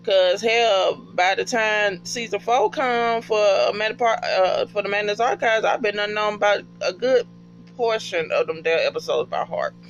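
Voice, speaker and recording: High-pitched, creaky-voiced man. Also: lo-fi sound. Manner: slangy, profane, emphatic, with repeated phrases. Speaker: podcast fan giving recommendations. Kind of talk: casual, unscripted chat.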